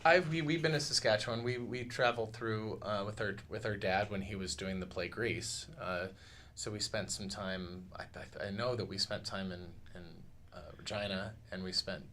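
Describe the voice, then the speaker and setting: A man in his thirties speaking thoughtfully and a little haltingly as a musician in a casual radio interview. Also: lowish voice, fairly even intonation, fairly bright tone, a little hiss or hum. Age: 30s. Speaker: musician, tribute duo singer. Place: small radio studio, indoors. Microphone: studio mic on boom arm.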